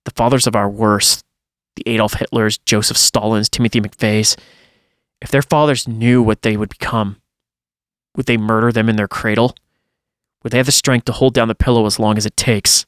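A man's voice: on the low side, fairly even intonation, moderate pace, no echo, studio quality. A man reading a first-person horror story aloud in a dramatic storytelling style, as a podcast host would.

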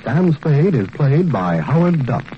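A man's voice: on the high side, big pitch swings, a little slow. A man reading scripted credits as a 1940s radio announcer. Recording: dull old radio recording, faint noise.

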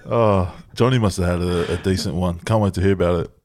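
A man's voice: sits low, steady tone, brisk, darkish tone. A young Australian man co-hosting a podcast, chatting in laid-back, crude banter with a lot of swearing. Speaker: podcast co-host. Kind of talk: casual banter.

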